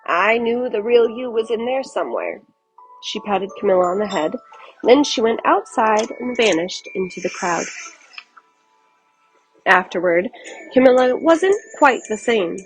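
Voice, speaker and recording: Slow, animated woman reading a storybook. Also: medium pitch, faint music behind, poor audio. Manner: expressive, deliberate phrasing. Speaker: storybook reader for children. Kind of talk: expressive children's read-aloud narration.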